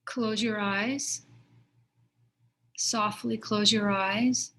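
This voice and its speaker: Woman softly guiding a meditation. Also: medium pitch, steady tone. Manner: gentle, unhurried instruction with pauses. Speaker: meditation teacher. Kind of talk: guided meditation.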